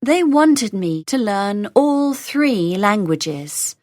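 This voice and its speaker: A woman reading a scripted conversation for English learners, saying each line slowly and carefully, then repeating it. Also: medium pitch, big pitch swings, darkish tone, no echo, studio quality. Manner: slow, carefully enunciated, lines read three times over. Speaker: dialogue reader for English learners.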